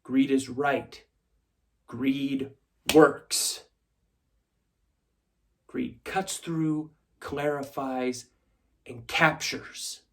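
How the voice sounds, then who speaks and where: A man playing a brash, confident corporate raider in a theatrical film monologue, giving an emphatic speech with deliberate dramatic pauses. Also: medium pitch, close to an everyday voice. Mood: measured, persuasive.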